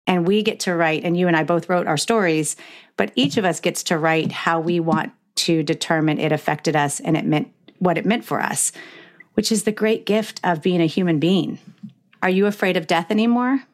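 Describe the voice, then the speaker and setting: A woman's voice: fairly low pitch, moderate pace. A middle-aged woman hosting a heartfelt conversational interview, reflective and acting out imagined remarks as she talks. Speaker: podcast host interviewing a guest.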